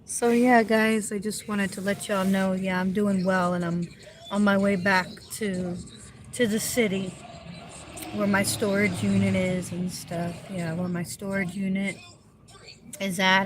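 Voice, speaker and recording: A woman with a New Jersey accent chatting casually to her viewers from a moving car, easygoing and grateful, rambling with lots of fillers. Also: medium pitch. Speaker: vlogger. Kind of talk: casual livestream chat. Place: in a car on the road. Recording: loud road noise from a car. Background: vehicle noise.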